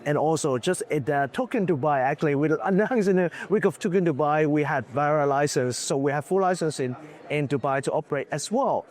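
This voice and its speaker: A man, a business executive, answering questions in a conference booth interview, slightly hoarse from losing his voice, talking candidly with lots of fillers. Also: a little high, brisk, a little mellow. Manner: candid, loose, full of "uh" and "like".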